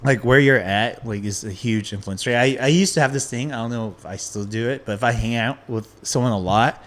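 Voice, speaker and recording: Chill podcast host dude, medium voice, talks loose with lots of "like". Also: male voice, medium pitch, a little fast, fairly bright tone. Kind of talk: casual chat.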